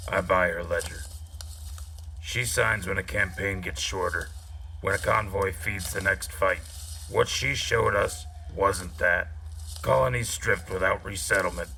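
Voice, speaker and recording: A man's voice: low voice, unhurried, vocal fry, pushed and bright, slight background noise, exaggerated voice, rough recording. A man acting a guarded, battle-worn soldier in a sci-fi audio drama, terse and brooding. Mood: grim.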